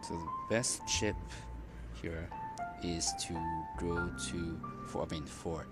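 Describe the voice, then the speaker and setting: A man giving casual, rambling game commentary as a streamer, with a hesitant, halting delivery. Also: sits low, fairly even intonation. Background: loud game music.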